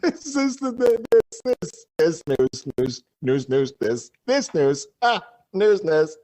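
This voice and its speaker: An unhinged radio news and weather presenter in a game parody. His pitch sits fairly high and swings widely. He is halting and repetitive, like he is losing his grip on the report. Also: big pitch swings, mellow, low-quality recording. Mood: manic. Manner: halting, repetitive, breaking into manic confusion.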